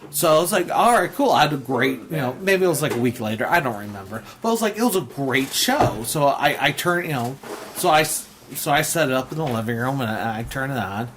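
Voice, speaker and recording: A man's voice: medium pitch, expressive, a little fast, a bit bright, slight background noise. A man from the Detroit area hosting a casual chat, rambling with many restarts and fillers, on a clamp-mounted mic. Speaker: host. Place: room with a shelf. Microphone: mic on a flexible tripod clamp.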